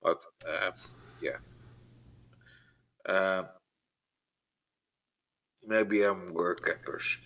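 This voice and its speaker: Slow, hesitant deep-voiced man. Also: fairly even intonation, rough recording. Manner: slow, hesitant, soft-spoken. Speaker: guest on a live stream. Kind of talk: casual chat.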